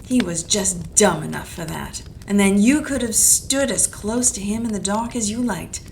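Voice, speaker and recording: A woman's voice: mid-range voice, moderate pace, vocal fry, darkish tone, slight background noise, close to an everyday voice, rough recording. A woman voicing a hard-boiled female private detective with a clipped, blunt manner, in a community radio play. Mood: accusing.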